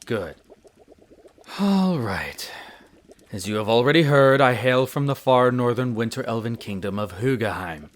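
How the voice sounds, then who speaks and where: A man's voice: mid-range voice, close to an everyday voice. A man playing a proud, prickly winter elf archaeologist who talks formally and haughtily in a scripted audio roleplay addressed to the listener. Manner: haughty, formal, exasperated, theatrical pauses.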